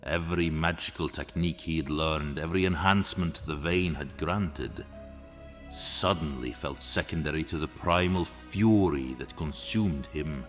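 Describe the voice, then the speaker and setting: A man's voice: rumbling low, unhurried, fairly bright tone. A man narrating an epic fantasy story in a dramatic, scripted storytelling style. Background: music.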